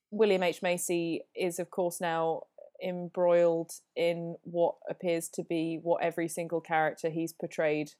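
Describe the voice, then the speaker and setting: A woman's voice: fairly low pitch, little pitch movement, measured pace, a little mellow. A woman joining a podcast remotely as a guest, stuffed up with a cold and talking with dry wit. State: has a cold. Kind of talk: casual podcast chat. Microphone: remote call.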